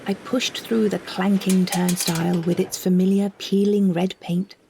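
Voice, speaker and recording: A British woman narrates a first-person audio story at a middle pitch. Her delivery is measured and expressive, with careful storyteller's phrasing. Clear ambient noise sits behind her voice.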